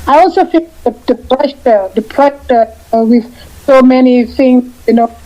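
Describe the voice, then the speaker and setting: A woman in her fifties chats as a radio guest in a high, mellow voice. She stops and restarts often, repeating words as she gathers her thoughts. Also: poor audio. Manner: halting, repeats and restarts phrases. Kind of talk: casual radio interview chat.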